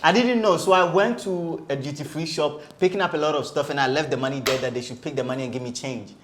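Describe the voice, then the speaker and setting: A man with a slightly high voice talks casually as a guest on a panel of content creators. He tells stories in a relaxed chat format, with faint noise in the background. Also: fast.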